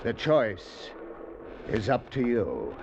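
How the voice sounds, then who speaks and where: An actor playing a smug, pompous escaped-convict ringleader in a 1940s radio drama, speaking with slow, sneering menace. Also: male voice, fairly low pitch, sing-song, gravelly, a little mellow, heavily acted. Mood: commanding. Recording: dull, hissy old radio broadcast.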